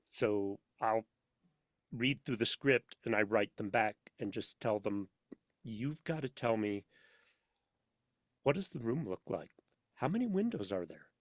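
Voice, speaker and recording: Low-voiced man chatting in a dry studio. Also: unhurried, long pauses, no echo. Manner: animated storyteller, acts out imagined dialogue. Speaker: podcast guest, sound designer for audio dramas. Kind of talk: casual interview chat with anecdotes. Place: inside a studio.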